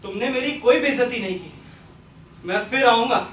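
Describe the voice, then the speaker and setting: A man on stage playing a forgiving, gently moralising father, speaking politely with measured insistence. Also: very high-pitched. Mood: apologetic, earnest. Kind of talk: Hindi stage play acting. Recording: echoey, distant stage recording with noise.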